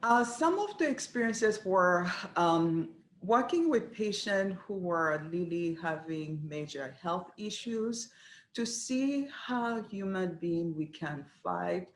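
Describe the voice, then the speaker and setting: A middle-aged woman speaks in an earnest, measured way, often pausing with small fillers. She sounds like a guest answering questions about her career. Her voice carries a roomy echo. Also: on the low side, expressive. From Rwanda. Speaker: dietetics program director, guest. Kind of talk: interview answers. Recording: echoey room.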